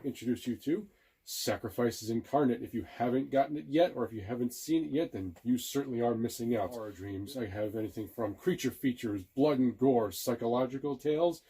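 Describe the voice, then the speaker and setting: A man giving a promotional pitch for a book as its author. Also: a little fast, warm tone, breathy edge, poor audio.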